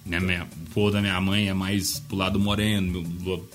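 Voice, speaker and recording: Brazilian man, rapid casual chat, low pitch, teasing tone, faint background music. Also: level tone, a bit bright. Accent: Brazilian Portuguese. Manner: fast, joking and teasing, folksy slang.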